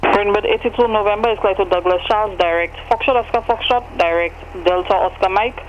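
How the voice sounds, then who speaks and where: A man working as an air traffic controller, giving clipped clearances over an aircraft radio. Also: high voice, fairly quick, dull audio. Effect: aircraft radio transmission. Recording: noisy aviation radio with vehicle hum.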